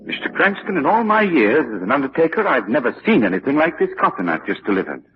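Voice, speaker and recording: An actor playing a polite, easily astonished undertaker in a 1940s radio drama, hesitant and deferential. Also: male voice, fairly high pitch, big pitch swings, quick pace. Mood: earnest, unsettled. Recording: dull, hissy 1940s radio recording.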